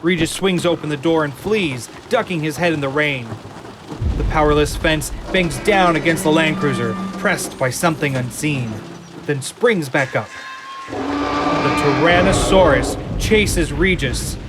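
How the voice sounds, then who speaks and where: A man narrating an audio drama from a script in a deliberate, suspenseful way. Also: fairly high pitch, lively intonation, over loud music. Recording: loud rain and storm effects behind.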